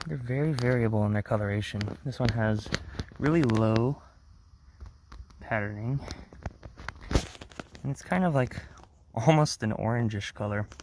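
A man giving casual, low-key narration as a wildlife vlogger filming outdoors from behind the camera. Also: measured pace, pauses often. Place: outdoors in woods and grassland. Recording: outdoor ambient noise.

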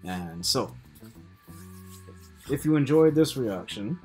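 A man's voice: medium pitch, animated, slow pace, warm tone, soft background music. A man in his thirties talking casually as the host of a reaction video, recorded indoors. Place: indoors in a room at a computer.

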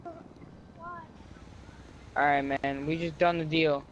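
High-voiced man gamer, slangy. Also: little pitch movement, slow pace, highs cut off, a little hiss or hum. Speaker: video game player. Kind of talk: casual in-game roleplay chat.

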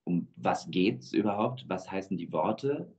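A man in his thirties, born in Georgia with Russian as his first language, speaking as a guest singer in a thoughtful, measured way over a video call. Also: deep voice, lively intonation, darkish tone. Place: indoor room with plain wall. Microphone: video call webcam. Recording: dull lo-fi video call audio.

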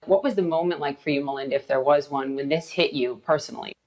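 Low-voiced woman interviewer. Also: fast, darkish tone. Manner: attentive, probing, short affirmations. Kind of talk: television interview questions.

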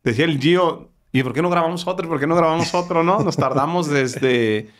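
A man in his thirties with a Mexican accent, hosting a podcast interview in a studio, talking with lively energy and frequent restarts. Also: on the high side, brisk. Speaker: podcast host. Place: studio with wood-paneled wall. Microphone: studio mic on boom arm.

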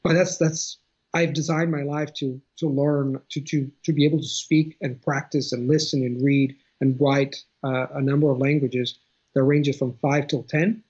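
A man's voice: medium pitch, little pitch movement, normal speed, darkish tone, breathy edge. A man in his thirties from Italy with an Italian accent, talking as an interview guest over a video call at home, thoughtful and often restarting his phrases. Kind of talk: casual conversation. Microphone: video call webcam. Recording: dull lo-fi video call audio.

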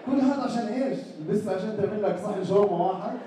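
A young man with a Jordanian Arabic accent performing an animated stand-up comedy routine on a small stage, talking into a handheld mic and acting out characters. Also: sits high, rapid-fire. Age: young adult. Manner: animated, acts out characters in raised voices. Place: small indoor stage with a live audience. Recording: echoey hall recorded from far off, with crowd noise.

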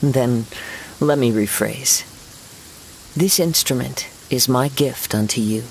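A man voicing a gentle, serene young wandering poet swordsman in a game, with measured, formal, poetic phrasing. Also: medium pitch, vocal fry. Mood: gentle, warm. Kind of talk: scripted game dialogue, storytelling. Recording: steady rain noise behind voice.

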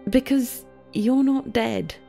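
A woman's voice: a little high, slow, vocal fry, mellow, soft background music. A woman voicing a blunt, casual guide to the dead in a story, explaining things matter-of-factly. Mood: offhand explaining. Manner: matter-of-fact, offhand.

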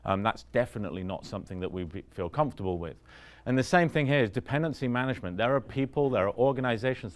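Male speaker, 40s, low-ish voice, chatty conference talk, quizzes the room. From Vancouver, Canada. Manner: conversational, asks the room questions, frequent "right?". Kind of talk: conference talk with audience questions. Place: conference room in front of an audience.

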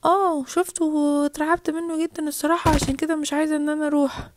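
A woman voicing an excitable, whiny, frightened little girl in Egyptian Arabic, pleading and exclaiming in a children's story. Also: high-pitched, fast, a little hiss or hum, lightly acted.

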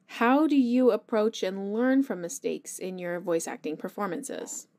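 A woman's voice: mid-range voice, measured pace, creaky, a little mellow. A woman asking scripted questions as an interviewer.

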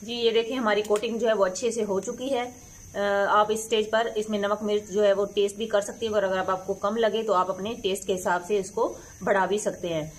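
A woman's voice: mid-range voice, fairly even intonation, fairly quick, a little hiss or hum. A middle-aged woman hosting a cooking channel, explaining each step of a home recipe patiently. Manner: patient, instructive, homely. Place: home kitchen.

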